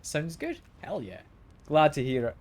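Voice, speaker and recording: A man's voice: on the high side, wildly swooping pitch, unhurried, vocal fry, darkish tone. A man streaming a video game, slightly ill and congested, chatting casually and often repeating words as he plays. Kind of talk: casual gameplay commentary. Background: game music.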